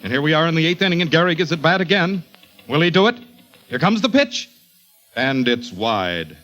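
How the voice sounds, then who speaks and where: Excited vintage baseball announcer, high-pitched. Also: male voice, normal speed. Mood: suspenseful. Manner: dramatic, building suspense. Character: excited baseball radio announcer calling a game. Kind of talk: 1940s radio sports commentary acting. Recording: vintage radio broadcast with music.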